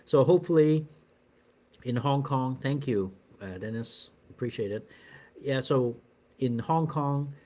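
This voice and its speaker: A man's voice: mid-range voice, unhurried, warm tone. Rambling middle-aged man on a live stream. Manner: rambling, many fillers and restarts. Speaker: channel host doing a live stream. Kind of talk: casual live-stream chat answering viewers.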